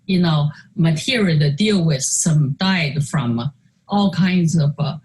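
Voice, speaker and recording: An older woman whose first language is Chinese, speaking English slowly and haltingly with many fillers and restarts as she presents her artwork in a video call talk. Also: low voice, a little mellow. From China. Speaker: artist. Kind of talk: virtual artist talk presenting slides.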